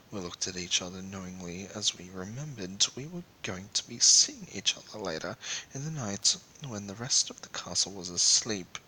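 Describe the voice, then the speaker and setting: A man giving a theatrical amateur dramatic reading of a first-person story, with breathy asides. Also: on the low side, sharp tone, a little hiss or hum, rough recording. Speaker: amateur fan fiction reader.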